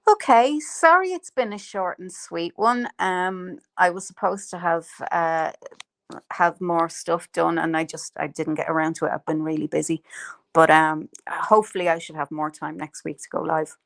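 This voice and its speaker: A woman's voice: fairly low pitch, normal speed, creaky, a bit bright. A woman, hosting a casual live stream chat and filling her talk with ums and laughs. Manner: chatty, lots of fillers and laughs. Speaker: livestream host.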